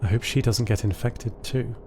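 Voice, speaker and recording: Male storyteller, measured, over music. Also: mid-range voice, warm tone. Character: thoughtful, caring artificial intelligence built for communication. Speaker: narrator of an audio fiction podcast. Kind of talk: scripted first-person story narration.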